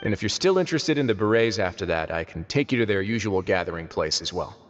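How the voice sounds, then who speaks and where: An actor playing a composed, thoughtful man of authority in a video game, speaking calmly with dry, teasing wit. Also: lowish voice, highs cut off, noisy background, lightly acted. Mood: thoughtful, reassuring. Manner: calm, measured, dryly teasing. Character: composed, wry male authority figure, unhurried and thoughtful. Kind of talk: video game character dialogue. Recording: echoey hall, music behind.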